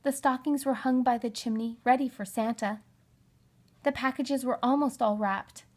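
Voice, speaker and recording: A woman narrating a children's storybook in an expressive read-aloud style. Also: a little high, moderate pace. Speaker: storybook reader.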